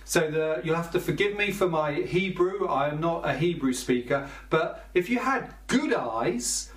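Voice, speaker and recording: A man's voice: fairly high pitch, lively intonation, measured pace. A man preaching a sermon, explaining scripture in a conversational way with small restarts. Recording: roomy, from a distance.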